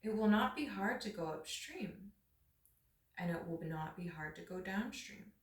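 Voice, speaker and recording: A woman voicing an agreeable eagle headman in a storybook reading, speaking in measured statements in an echoey room. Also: medium pitch, animated, lightly acted. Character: agreeable male eagle headman calling from a treetop. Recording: roomy echo, distant microphone.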